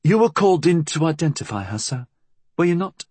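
A man's voice: a little high, big pitch swings, brisk, darkish tone, breathy edge, no room sound, pitched above the performer's own voice. A man voicing a nervous, deferential police inspector in an audiobook, hesitant and formally polite. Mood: deferential, urgent.

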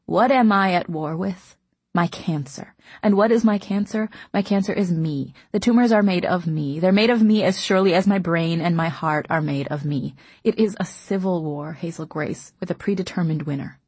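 A woman voicing a young man with terminal cancer, speaking quietly with wry, weary resignation in an audiobook. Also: on the low side, moderate pace, slightly rough, no echo. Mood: grim, resigned. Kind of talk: audiobook character reading.

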